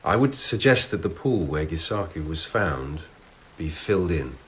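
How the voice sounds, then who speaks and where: An actor playing a shrewd, dutiful lieutenant investigator in a radio drama, with deliberate delivery. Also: male voice, sits low, lively intonation, fairly bright tone. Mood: respectful, persuasive.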